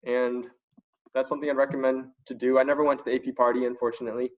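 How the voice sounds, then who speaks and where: Young man, student panelist, informal and enthusiastic, mid-pitched voice, lo-fi online call sound. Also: little pitch movement, racing. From China. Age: young adult. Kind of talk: casual spoken testimonial. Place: online video conference call. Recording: dull lo-fi online call audio.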